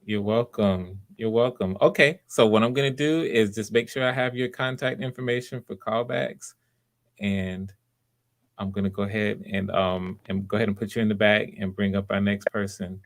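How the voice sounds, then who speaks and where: A man's voice: lowish voice, steady tone, normal speed. A man hosting online auditions in a casual, encouraging way, often repeating himself. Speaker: audition host. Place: live video stream.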